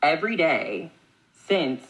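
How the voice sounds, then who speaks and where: A man's voice: fairly high pitch, very animated, slow, a bit bright, rough recording. A man chatting candidly to camera, telling a dating story in a casual way. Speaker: clip speaker.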